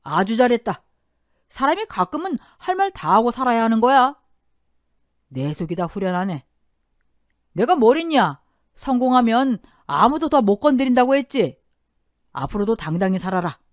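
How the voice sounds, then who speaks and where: A woman's voice: on the high side, sing-song, normal speed, pauses often, no room sound, clean studio sound, pitched above the performer's own voice. A woman voicing an older man, a protective father-in-law, speaking in a firm and hearty way.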